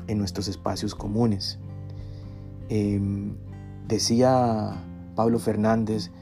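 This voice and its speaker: A man speaks in a lowish, dark and mellow voice. He delivers an academic lecture reflectively, pausing often between measured phrases. Soft guitar music plays faintly behind him. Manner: measured, deliberate, halting phrasing with pauses.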